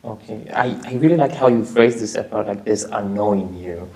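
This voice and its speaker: A man hosting a podcast, asking relaxed, loosely worded questions filled with "like". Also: on the low side, moderate pace, rough recording. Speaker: podcast host. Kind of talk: casual interview questions.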